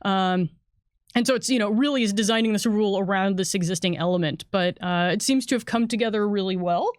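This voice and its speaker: A woman's voice: medium pitch, a little fast, no echo, clean studio sound. A woman speaking conversationally as a game designer and editor, chattily explaining a product and correcting herself as she goes. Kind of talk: conversational product walkthrough for a livestream.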